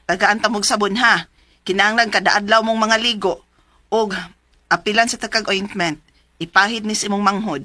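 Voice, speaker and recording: A motherly, fussing neighborhood shopkeeper in a Filipino radio drama, played by a woman. She speaks with a Cebuano accent in a bright, forceful voice, concerned and gently scolding. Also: medium pitch, fairly even intonation, moderate pace, dry recording, close to an everyday voice.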